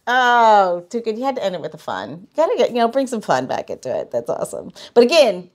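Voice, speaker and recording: A woman's voice: a little high, huge pitch swings, fast talker, a little mellow. A woman hosting a podcast in a casual, conversational way. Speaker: podcast host.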